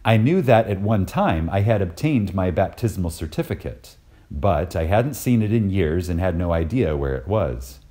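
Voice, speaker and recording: Deep-voiced man reading with reverence. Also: lively intonation, warm tone. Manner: reverent, emphatic, with deliberate pauses. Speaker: reader of a recorded lecture. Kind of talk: scripted dramatic lecture reading.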